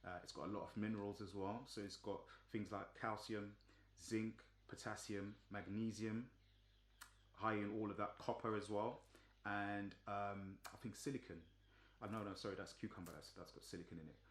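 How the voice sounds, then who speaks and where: A man from Zimbabwe giving a casual, relaxed explanation, with lots of ums and restarts. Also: lowish voice, moderate pace. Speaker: vlogger. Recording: echoey room.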